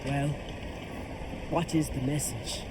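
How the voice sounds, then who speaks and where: An actor voicing a solemn island champion in a video game, speaking formally and with measured dignity. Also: male voice, mid-range voice, steady tone, noisy background, natural voice. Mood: guarded. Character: solemn champion and truth bearer of an island people. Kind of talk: video game character acting.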